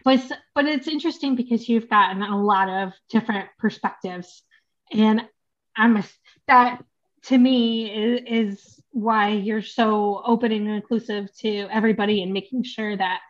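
A woman's voice: mid-range voice, steady tone, moderate pace, highs cut off. An American woman hosting a podcast, chatty and full of restarts, laughing between phrases. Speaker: podcast host. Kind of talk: podcast interview.

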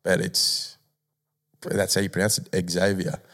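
A man's voice: low-pitched, little pitch movement, fairly bright tone. A man co-hosting a podcast, joking and riffing in casual banter with frequent laughs. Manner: joking, laughs a lot, riffs quickly. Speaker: podcast co-host.

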